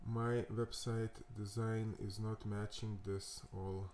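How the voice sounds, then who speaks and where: A man with a Lithuanian accent talks through a coding walkthrough at a slow, unhurried pace. His voice sits low and mellow, and he thinks aloud, stopping and restarting with lots of fillers. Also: level tone, a little hiss or hum. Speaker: coding tutorial host. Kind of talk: casual screen-recorded coding walkthrough.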